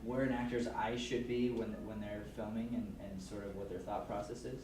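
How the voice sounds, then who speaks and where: A man's voice: lowish voice, level tone, a little fast. Enthusiastic man interviewing in an echoey hall. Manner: enthusiastic, showman-like, calling people over loudly. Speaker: interviewer at a kids' film camp. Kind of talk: upbeat on-camera interviews. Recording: echoey room, far from mic, noisy.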